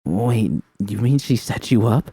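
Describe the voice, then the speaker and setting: A man's voice: medium pitch, measured pace, mellow, husky, dry recording, studio quality. A man voicing an anxious, protective young woman in an audiobook, stammering with urgent concern. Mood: worried.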